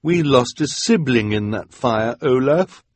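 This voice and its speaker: A man's voice: medium pitch, expressive, a little slow, no room sound, voice pushed up. A male narrator voicing a grim henchwoman who firmly refuses her boss. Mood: defiant. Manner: firm, defiant.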